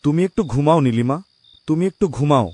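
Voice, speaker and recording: A man's voice: fairly high pitch, brisk, a little mellow, dry recording, lightly acted. A man playing a burdened, sorrowful husband and father in a melodramatic cartoon. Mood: sorrowful, consoling.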